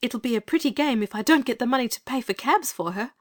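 A man's voice: very high-pitched, animated, quick pace, pitched above the performer's own voice. A man voicing an earnest, plucky young girl in a storybook, speaking with urgency. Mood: reckless, insistent.

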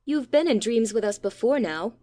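Guy doing impulsive little boy in a storybook, blunt, pitched way up. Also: animated, normal speed, dark tone. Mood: insistent. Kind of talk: audiobook character voice.

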